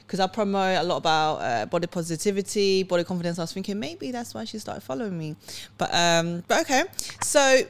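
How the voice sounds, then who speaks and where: Chatty woman hosting a podcast. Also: a little high. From Sweden. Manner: chatty, rambling with restarts. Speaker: podcast host. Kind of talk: casual chat.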